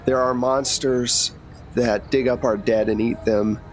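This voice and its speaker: A man voicing a no-nonsense female government agent who recruits with grave, deliberate persuasion in a tabletop game. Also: mid-range voice, steady tone, a little hiss or hum, natural voice. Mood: grave, persuasive.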